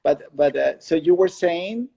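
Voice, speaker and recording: A man hosting a casual interview as a curator, putting questions in a halting way and rephrasing as he goes. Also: on the high side, expressive, warm tone. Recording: clearly noisy, with clicks.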